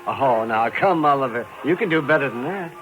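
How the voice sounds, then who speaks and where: A sad, reticent man in his fifties, played by a male actor, speaking quickly and politely in a mid-range voice. Old radio drama recording. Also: medium pitch, expressive, muffled recording, over music, close to an everyday voice. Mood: coaxing. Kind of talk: 1950s radio drama acting.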